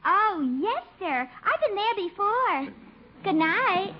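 An actress playing a meek, naive, eager-to-please secretary in a 1950s radio comedy, speaking deferentially. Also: female voice, very high voice, big pitch swings, slow pace, noisy background, exaggerated voice. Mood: dimly obliging. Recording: muffled 1950 radio recording.

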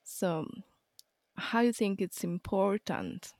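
A woman's voice: mid-range voice, animated, slow, darkish tone, no room sound, studio quality. A woman hosting a podcast on yoga, welcoming her guest and asking plain questions in a friendly way. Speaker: podcast host. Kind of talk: podcast interview.

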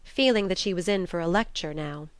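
A woman's voice: lowish voice, brisk, clear, resonant tone, no echo. A woman reading a classic novel aloud as a scripted literary narration. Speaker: audiobook narrator.

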